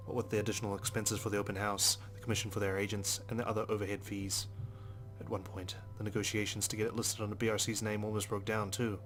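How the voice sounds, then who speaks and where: Fast-talking man with a low, even voice. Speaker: let's player. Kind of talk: reading a visual novel aloud with casual commentary.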